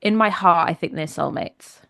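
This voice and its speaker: A woman's voice: fairly low pitch, normal speed, creaky, a bit bright, no room sound, studio quality. A woman with a Welsh accent chatting casually as a podcast co-host. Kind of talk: casual conversational chat.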